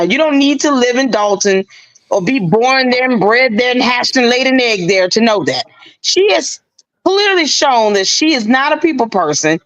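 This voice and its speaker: Opinionated female guest, high voice, casual commentary. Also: fairly bright tone, rough recording. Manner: opinionated, talks at length. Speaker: livestream guest.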